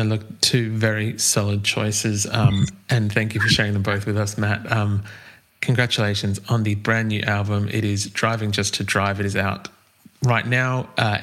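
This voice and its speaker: A man hosting a podcast interview, friendly and quick to laugh. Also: on the low side, a bit bright. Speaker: podcast host. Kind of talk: interview host.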